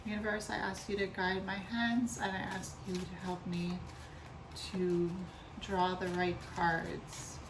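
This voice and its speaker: A woman with a slightly raspy voice doing a casual tarot reading vlog, talking softly and unhurriedly with many ums and restarts. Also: on the low side, flat delivery, slow pace, noisy background. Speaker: tarot reader.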